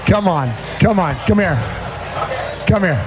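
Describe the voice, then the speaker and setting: A man's voice: sits high, expressive, measured pace, loud music behind the voice. A man hosting a podcast in casual, rambling live chat, often repeating his phrases. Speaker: podcast host. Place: busy car-themed restaurant, live on location.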